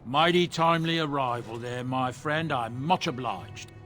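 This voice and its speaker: A man's voice: mid-range voice, measured pace, music in the background, close to an everyday voice. An actor playing a jovial, talkative dwarf merchant with folksy salesman patter in a video game. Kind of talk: video game cutscene dialogue.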